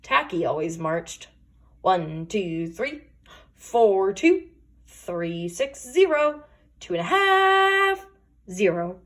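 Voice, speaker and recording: A woman storyteller reading a children's picture book aloud with expressive, deliberate emphasis. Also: mid-range voice.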